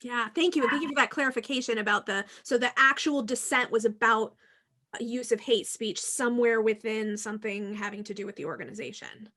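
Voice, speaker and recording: Woman, high-pitched, bright forceful voice, podcast host, animated, emphatic, casual conversation. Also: fairly quick.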